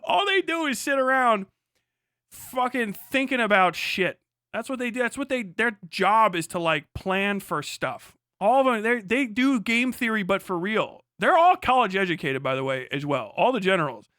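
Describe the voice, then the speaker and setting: Male streamer, high bright voice, close dry sound, sarcastic, sweary, casual riffing. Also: animated, normal speed, no room sound. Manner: profane, sarcastic, joking. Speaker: live streamer. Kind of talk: casual reaction commentary with riffing.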